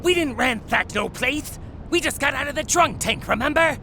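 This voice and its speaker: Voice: high-pitched, expressive, normal speed, bright, forceful tone, slight background noise, exaggerated voice. An actor playing a brash, dim lizard-man goon with slangy tough-guy talk in a noir audio drama. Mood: defiant.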